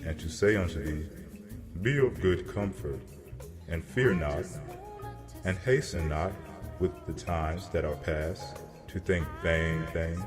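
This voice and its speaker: A man playing a solemn angel messenger who delivers grave prophecy in a measured, declamatory way, as in a dramatized scripture reading. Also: deep voice. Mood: solemn reassurance. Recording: long cavernous reverb, music behind. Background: music.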